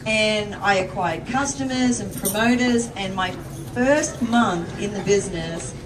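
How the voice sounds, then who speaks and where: A woman's voice: mid-range voice, a little slow. An Australian woman speaks with bubbly enthusiasm, chatting easily to a crowd. Her voice carries from a distance through an echoey hall with plenty of background noise. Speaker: event speaker giving a testimony. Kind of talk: upbeat sales testimony on stage. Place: event venue with a crowd.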